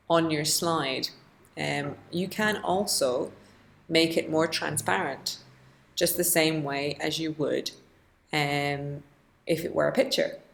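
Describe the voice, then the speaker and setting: A woman teacher giving an informal, chatty software walkthrough, thinking aloud as she demonstrates each step. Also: low voice, gravelly. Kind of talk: informal instructional screen-recording walkthrough.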